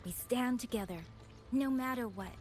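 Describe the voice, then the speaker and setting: A woman's voice: on the high side, moderate pace, soft background music, lightly acted. A woman acting a composed, thoughtful and loyal young strategist in a video game, earnest and analytical. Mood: firm loyalty.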